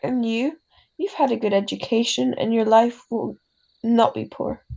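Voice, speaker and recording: A woman playing an ailing, gentle, wise mother in a radio drama, speaking tenderly and haltingly. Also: fairly high pitch, steady tone, creaky, muffled recording. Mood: gently reflective. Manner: tender, halting, philosophical, tags sentences with huh. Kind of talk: classic radio drama acting.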